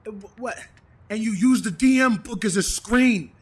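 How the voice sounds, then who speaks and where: Guy acting a pompous whiny gamer dude, high-ish voice, theatrical paladin type, comedy audio play. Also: moderate pace, slightly rough. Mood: indignant complaining. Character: pompous, whiny man playing a boastful paladin in a tabletop game.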